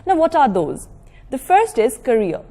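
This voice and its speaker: A woman giving an educational lecture in a measured, explanatory way, stressing key words. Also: sits high, sing-song, warm tone. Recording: long reverberant echo of a hall.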